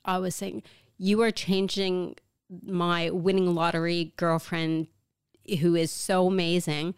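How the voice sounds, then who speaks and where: A woman's voice: on the low side, flat delivery, slow pace, smooth, dry recording, studio quality. A woman in her thirties from Canada, co-hosting a podcast and talking frankly about her past, swearing casually and acting out remembered lines. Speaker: podcast co-host. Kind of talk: candid conversational podcast chat.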